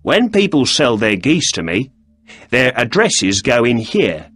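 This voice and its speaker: A man voicing a grumpy, defensive male shopkeeper who snaps quick, irritable answers. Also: mid-range voice, very animated, a bit bright, slightly rough, no room sound, full character voice.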